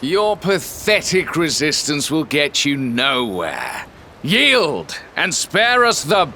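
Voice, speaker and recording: An actor playing a cruel, haughty military commander in a video game, sneering and imperious. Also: male voice, on the high side, sing-song, takes it slowly, slight background noise, full character voice. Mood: contemptuous. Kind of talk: video game villain acting. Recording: loud game music under the voice.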